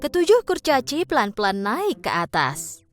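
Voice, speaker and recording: Casting: woman storyteller for children's cartoons, expressive, animated, higher-pitched, over soft music. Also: normal speed. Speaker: narrator. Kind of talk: scripted children's story narration.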